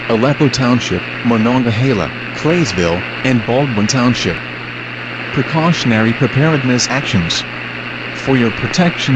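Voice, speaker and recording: Male emergency alert announcer, mid-pitched, formal flat scripted read, very noisy broadcast recording. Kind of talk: scripted weather warning announcement.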